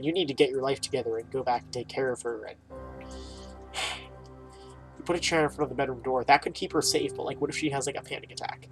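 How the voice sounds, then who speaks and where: A man's voice: mid-range voice, creaky. A man hosting a gaming video, casually reading game text aloud and reacting with informal, muttered asides. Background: game music.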